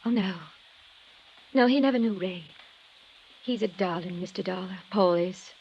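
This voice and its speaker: An actress playing a gracious, effusive young widow in a 1950s radio drama, polite and eager to please. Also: female voice, medium pitch, normal speed, lightly acted. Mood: gracious, fond. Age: young adult. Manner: polite, effusive, soft-mannered. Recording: dull old radio recording, faint noise.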